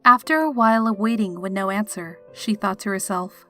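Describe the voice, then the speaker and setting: A woman narrates dark fan fiction in a voice of medium pitch. The delivery is scripted, dramatic storytelling, with music playing behind her. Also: fairly quick, dry recording. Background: background music.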